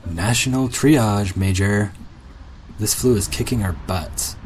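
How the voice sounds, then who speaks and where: Audio drama doctor, played by a man: deep-voiced, firm, tired, bound by the rules, faint noise behind. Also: slow pace, a little mellow. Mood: firm, weary. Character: weary, rule-bound male army-camp doctor, firm.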